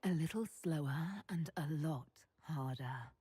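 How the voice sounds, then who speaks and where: A woman's voice: deep voice, measured pace, warm tone, breathy edge, no echo, heavily acted. A woman playing a composed, direct heroine who leads others in a fantasy video game. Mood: bold. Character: composed heroine, bold leader of the Inquisition. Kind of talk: dramatic video game dialogue. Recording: game audio with background ambience.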